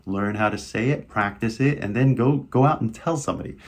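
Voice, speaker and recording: Man, low gravelly voice, husky, casual coaching teacher. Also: fairly quick. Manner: repetitive, coaching, many "right?" tags. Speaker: English teacher. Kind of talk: casual video lesson.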